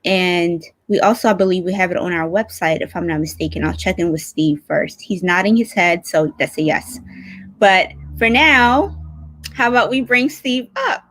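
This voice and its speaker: A woman's voice: on the low side, normal speed, fairly bright tone, no room sound. A woman hosting an online show in a casual, chatty way, speaking effusively and with enthusiasm.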